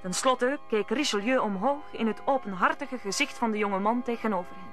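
A woman narrates a radio drama as a storyteller. Her voice sits at a medium pitch and she reads from a script, with faint music around her. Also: a bit bright.

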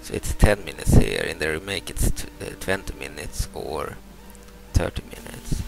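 Deep gravelly Swedish man commenting gameplay. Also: sing-song, heavy background noise. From Sweden. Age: 30s. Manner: halting, repeats words and restarts, explains moves step by step. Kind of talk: casual let's-play commentary.

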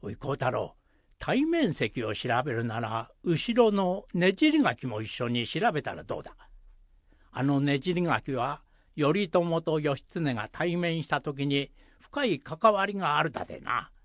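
A man playing a gruff, proud old former village headman with a Shizuoka accent in an amateur radio drama, talking in a rustic, hesitant way. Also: on the high side, sing-song, no echo. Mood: knowing. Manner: slow, rustic, grunting hesitations.

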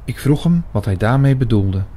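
A man narrating a radio play in the first person, measured and literary in his storytelling. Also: mid-range voice, animated, mellow, a little hiss or hum. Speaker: narrator and main character.

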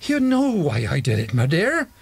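A man playing a gruff, hot-tempered father in an audio drama. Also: a little high, wildly swooping pitch, normal speed, full character voice. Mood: defensive, tender. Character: gruff angry father who stole a violin.

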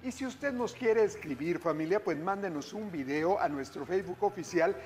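A man's voice: high voice, expressive, normal speed, a little mellow, a little hiss or hum. A middle-aged man hosting a TV cooking show, chatting with viewers as he cooks in a studio kitchen. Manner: upbeat, chatty, keeps calling viewers "familia". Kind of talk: cooking demonstration, addressing viewers.

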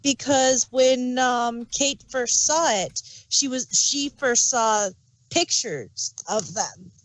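A woman's voice: a little high, slow, dry recording, poor audio. A woman reviewing an audio drama in casual podcast chat.

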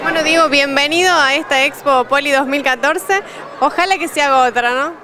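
High-voiced Argentine woman reporter. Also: sharp tone, some chatter behind. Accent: Argentine Spanish. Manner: brisk questions and short friendly replies. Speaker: local TV reporter. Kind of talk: on-the-spot TV interview. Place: indoor expo hall. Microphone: handheld reporter mic.

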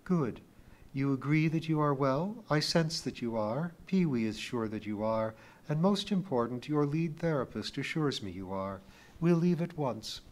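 A man voicing a small, gentle, motherly female alien who speaks calmly and firmly. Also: mid-range voice, lightly acted. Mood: kindly. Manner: soft, measured, soothing and precise. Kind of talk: audiobook character voice.

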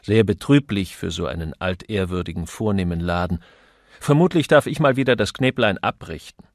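A man acts out a weary male copywriter in an audiobook. He grumbles with sardonic dryness in a low, creaky voice. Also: moderate pace, dry recording, clean studio sound. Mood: sardonic grumbling.

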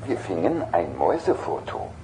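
Fussy echoing talking car computer. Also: male voice, low voice, wildly swooping pitch, moderate pace, dark tone. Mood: smug, joking. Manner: formal, fussy, precise. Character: talking car computer, polite, fussy, dry-humoured companion. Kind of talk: German audio drama acting. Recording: echoing, noisy cassette radio play.

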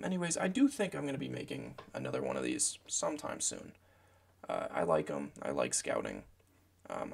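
A young man with a gravelly voice, hoarse from a cold, giving casual football draft commentary from a small room at home, rambling with lots of fillers. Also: extremely low pitch. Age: young adult. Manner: meandering, filler-heavy, self-correcting.

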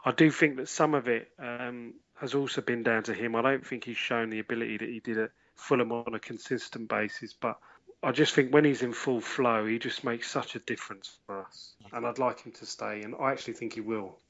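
A man speaks as a sports podcast panellist at an ordinary male pitch. He weighs his opinions in a measured way, and the sound is slightly dull. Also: steady tone, a bit bright. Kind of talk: casual sports discussion.

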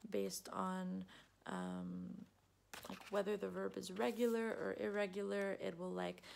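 Chatty young woman with gravelly fry. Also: mid-range voice, expressive, unhurried, a little mellow, slight background noise. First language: Arabic. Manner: thoughtful, chatty, many fillers and restarts. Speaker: book vlogger. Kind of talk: casual book review. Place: home room with bookshelves. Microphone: webcam at close range.

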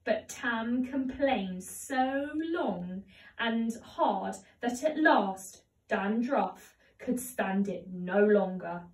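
Slow-paced young woman reading a storybook, roomy sound. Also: medium pitch, lively intonation. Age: young adult. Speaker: primary school teacher reading a story. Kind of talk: children's storybook read-aloud. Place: home conservatory, indoors. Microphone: camera a few metres away. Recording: roomy echo, distant microphone.

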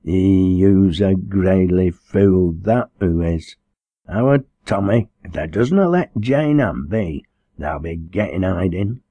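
A man's voice: low-pitched, animated, slow, no room sound, highs cut off, heavily acted. A man voicing a scornful working-class village matron in an audiobook, scolding in a Lancashire accent. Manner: sharp, scolding. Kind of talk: audiobook character dialogue.

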